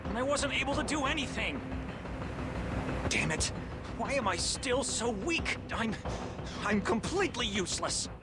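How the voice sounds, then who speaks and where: An actress playing a young, anguished, self-blaming adventurer in a fantasy game, speaking in a distraught way. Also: female voice, a little high, big pitch swings, a little slow, pauses often. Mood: distressed. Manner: distraught, emotional. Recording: loud music under the voice.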